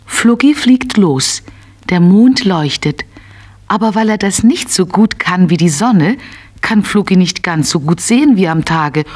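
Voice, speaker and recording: A woman narrating a scripted children's story in short, measured phrases. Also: fairly low pitch, animated, a little mellow, husky.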